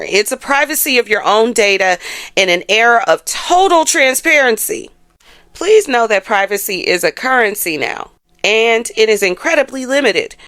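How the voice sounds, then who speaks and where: Low-voiced American woman, sassy and direct. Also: a bit bright, no room sound. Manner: sassy, direct address with rhetorical questions and tag phrases like "okay?". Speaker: astrology channel host. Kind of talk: casual, conversational commentary to followers.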